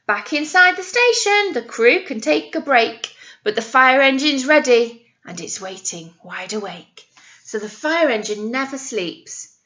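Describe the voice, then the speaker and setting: A woman, a nursery teacher, reading a picture book aloud to young children in an expressive, teacherly way, stopping to explain things simply with questions and emphasised key words. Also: sits high, measured pace, lo-fi sound.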